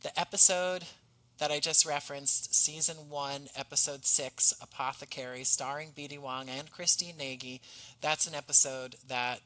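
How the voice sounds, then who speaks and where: This is a man with a bright, forward voice, talking as a podcast guest. He explains his work conversationally but in articulate, measured sentences with a touch of formality. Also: fairly high pitch, fairly even intonation, low-quality recording. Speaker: podcast guest, audio drama writer.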